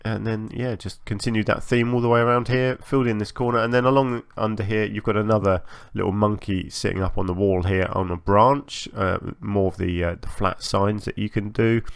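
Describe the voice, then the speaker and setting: Low, slightly croaky man, casual game commentary. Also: fairly even intonation, fairly quick, hardly any pauses, no room sound. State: slightly hoarse from long recording. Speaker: gaming channel host.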